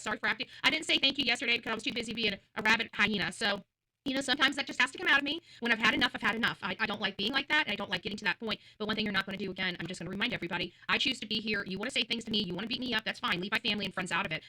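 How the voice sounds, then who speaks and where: A woman's voice: a little high, sharp tone, poor audio. A woman livestream host ranting to her viewers in a combative, blunt, profane and emphatic way, repeating phrases for force.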